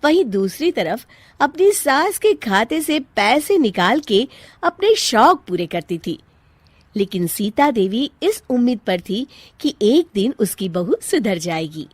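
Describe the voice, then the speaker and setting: A woman's voice: on the high side, big pitch swings, measured pace, breathy edge, no echo. A woman reading a scripted moral tale as the storyteller. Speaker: story narrator.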